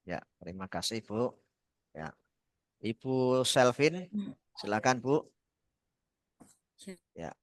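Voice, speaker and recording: A man's voice: medium pitch, expressive, slow pace, long pauses, no echo, studio quality. A male lecturer on a video call briefly and politely calling on students in turn. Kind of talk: running class introductions.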